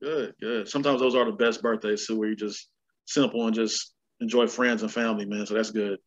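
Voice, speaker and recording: A man's voice: on the low side, fairly even intonation, a little fast, lo-fi sound. A man hosting a casual interview over a video call, easygoing and friendly, often ending his lines with "man". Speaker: host.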